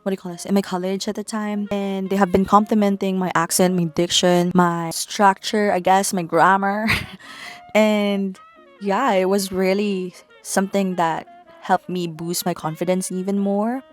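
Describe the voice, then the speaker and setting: A woman's voice: medium pitch, level tone, unhurried, soft background music. A young woman from the Philippines with a Filipino accent, a native Tagalog speaker, chatting candidly to camera as a vlogger. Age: young adult. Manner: chatty, candid, sprinkled with likes and asides. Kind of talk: casual talk to camera.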